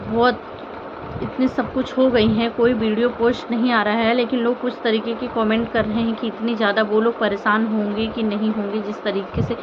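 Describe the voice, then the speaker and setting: High-voiced woman vlogger over muffled, noisy audio. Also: flat delivery, moderate pace. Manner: earnest, pleading, repeats phrases and rhetorical questions. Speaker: vlogger commenting on online family drama. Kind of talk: informal opinion commentary addressed to viewers.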